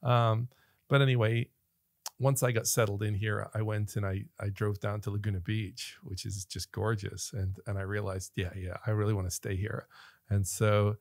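A middle-aged man from Northern Ireland with an American accent, a relaxed guest telling stories in a casual studio interview. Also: lowish voice, moderate pace, no echo. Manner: relaxed storyteller, laughs often, gestures with hands. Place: small podcast studio. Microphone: studio mic on boom arm, headphones on.

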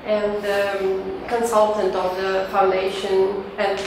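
A woman giving an informal, halting welcome speech to open an event. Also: medium pitch, monotone. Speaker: director of cultural centre, opening the event. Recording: very echoey hall, distant mic, noisy.

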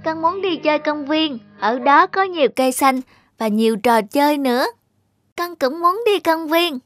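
A woman's voice: high-pitched, lively intonation, moderate pace, a bit bright, no echo, faint music behind. A woman voicing a sweet, earnest little girl in a cartoon, childlike in manner. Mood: wistful, eager. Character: sweet, earnest little girl, eager to please her father. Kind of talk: acted cartoon dialogue.

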